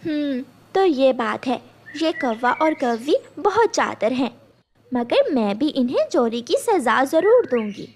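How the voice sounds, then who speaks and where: A clever mother bird from a cartoon, acted by a woman, determined and measured. Her voice is high and expressive, and she takes her words slowly. Slight noise is on the recording. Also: full character voice. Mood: resolute. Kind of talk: animated children's story dialogue.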